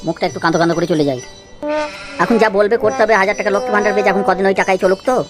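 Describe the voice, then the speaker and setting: A woman's voice: medium pitch, rapid-fire, over music, natural voice. A woman playing a henpecked, secretly scheming village husband in an amateur comedy cartoon, wheedling, pleading and muttering to himself. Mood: sly, coaxing.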